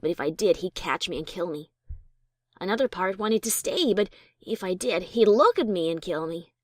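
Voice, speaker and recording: Adventurer pony narrator, played by a woman: female, lively varied pitch, wry bravado with nerves underneath, first-person storybook drama. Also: moderate pace, no echo, studio quality. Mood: panicked. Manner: expressive, breezy bravado over nerves.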